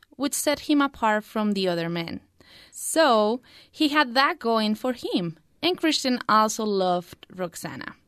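A woman's voice: fairly high pitch, fairly bright tone, dry recording, studio quality. A woman in her twenties, a native Spanish speaker and student, giving a prepared book review. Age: 20s.

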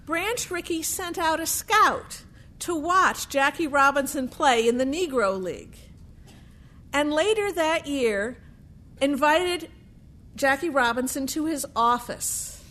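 A woman telling a true sports history story in a deliberate way, with dramatic pauses and emphasis. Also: sits high, animated, pushed and bright.

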